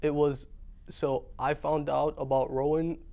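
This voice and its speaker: A man's voice: on the high side, little pitch movement, a little slow, vocal fry, dark tone. A young man from Iraq answering questions as a student guest in an interview. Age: young adult. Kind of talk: conversational interview.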